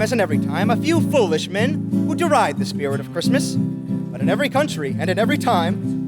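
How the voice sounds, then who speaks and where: A man playing a formal, genial host of a 1940s radio theater, reading a scripted announcement. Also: sits high.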